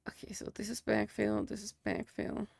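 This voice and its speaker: A young woman, a native Spanish speaker, talks into a desk microphone on a live stream, and her voice sounds close and dry with no room around it. She keeps up a casual, chatty patter, thanking viewers and murmuring notes to herself while she works. Her pitch sits in a middle range for a woman. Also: big pitch swings, normal speed, gravelly, a little mellow, husky, no room sound, whispered.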